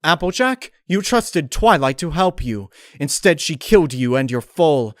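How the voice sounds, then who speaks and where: Refined female pony, acted by a man, raised pitch, formal and condescending, vengeful, dark storybook character, close dry sound. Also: sing-song, unhurried, no room sound. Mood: cold, accusing. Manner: formal, condescending, theatrical diction. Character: refined vengeful female pony, chillingly polite, unhinged underneath.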